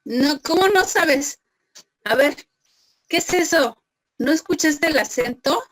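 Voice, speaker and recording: A woman's voice: high voice, lively intonation, takes it slowly, fairly bright tone, dry recording. A woman teacher, lecturing an online class, patiently questioning students and praising them by name.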